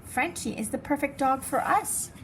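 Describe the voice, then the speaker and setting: A woman reading as an excitable, fancy little girl from a storybook, dramatic and showy with her favorite words. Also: high voice, normal speed, a little hiss or hum, natural voice, low-quality recording. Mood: eager.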